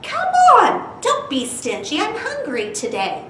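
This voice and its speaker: A woman's voice: sits high, wildly swooping pitch, unhurried, bright, forceful tone, voice pushed up, heavily acted. A woman voicing a hungry, indignant pet goldfish in a children's storybook, pleading and scolding. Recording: roomy, distant mic with faint hiss.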